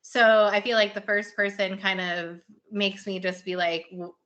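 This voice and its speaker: A woman's voice: mid-range voice, flat delivery, a little slow, fairly bright tone, lo-fi sound. A woman podcast host chatting casually about her own writing, informal and rambling as she searches for words. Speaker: podcast host and fiction writer. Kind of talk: casual chat.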